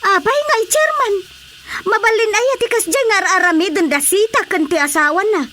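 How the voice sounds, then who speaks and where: A woman's voice: very high-pitched, normal speed, pushed and bright, slight background noise. An actress with an Ilocano Filipino accent playing an indignant, nosy village woman who complains and exclaims, in a radio drama comedy.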